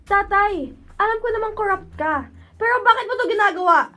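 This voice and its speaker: A woman's voice: very high voice, a little fast, bright, forceful tone. A woman playing an earnest, pleading young daughter of a corrupt mayor in an amateur radio drama. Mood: distraught.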